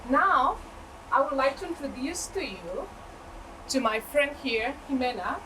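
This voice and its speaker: A woman speaking for a community organization, giving formal opening remarks in a halting way, repeating words while she searches for them. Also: high voice, animated, sharp tone. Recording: distant mic with noisy room.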